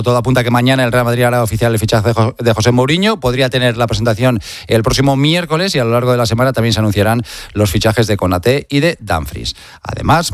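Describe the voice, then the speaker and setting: A man's voice: lowish voice, dry recording, studio quality. A man with a Spain Spanish accent presenting sports news as a radio host, emphatic and conversational, with rhetorical questions and asides.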